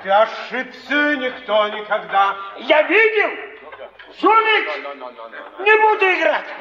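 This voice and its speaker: A man's voice: very high voice, slow pace, a crowd in the background. An actor playing a hot-tempered male card player, blustering and exclaiming indignantly in theatrical style. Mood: outraged. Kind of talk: mid-century theatrical stage acting. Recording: distant, muffled, echoey stage recording.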